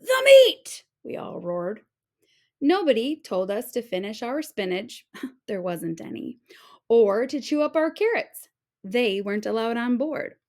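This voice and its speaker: A woman narrates a children's picture book aloud. Her voice sits on the high side and moves very widely in pitch, giving the telling an animated, theatrical, teacherly feel. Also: a little slow. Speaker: read-aloud teacher reading a storybook. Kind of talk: expressive children's storybook narration.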